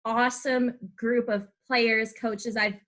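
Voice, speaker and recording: Young American woman host, very bright, slightly high voice, relaxed conversational interviewing. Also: takes it slowly. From Arizona, United States. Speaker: podcast host. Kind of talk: casual interview chat.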